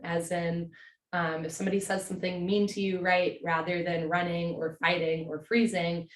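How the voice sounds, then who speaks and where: A woman with a hoarse voice from a cold, teaching a yin yoga class from home in a slow, gentle, soothing way. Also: on the low side, echoey room.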